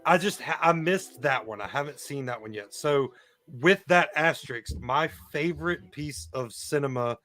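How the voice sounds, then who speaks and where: A man's voice: a little high, moderate pace, background noise. A man chatting casually and enthusiastically about films on a live stream, speaking emphatically.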